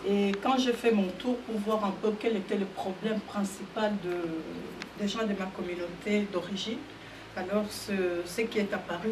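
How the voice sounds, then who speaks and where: A woman guest talking about the association she founded, measured and with a few fillers. She sounds roomy and set back from the mic. Background noise is clearly heard. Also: medium pitch, distant mic. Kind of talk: interview answers.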